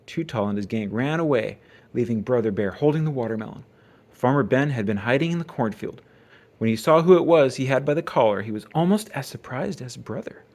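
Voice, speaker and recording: A parent reading a children's picture book aloud in an expressive narrating style. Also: medium pitch, brisk. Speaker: storybook reader, parent.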